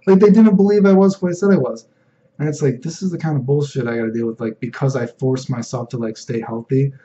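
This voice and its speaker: Young man with a deep, mellow voice. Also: fast, highs cut off. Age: young adult. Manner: rambling, filler-heavy, frequent "you know what I mean". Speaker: vlogger. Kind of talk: casual personal vlog.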